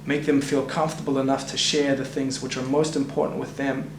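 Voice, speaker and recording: A man speaking in an earnest, deliberate way, giving thoughtful comments in an interview. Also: medium pitch, flat delivery, background noise. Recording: echoey and distant, with loud guitar music.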